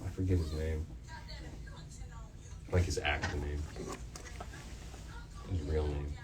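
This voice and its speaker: A young man with a very low voice hosts a casual student radio interview. He speaks informally and haltingly, groping for his phrasing. He sounds a little away from the mic, with faint noise behind him. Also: fairly even intonation, distant mic.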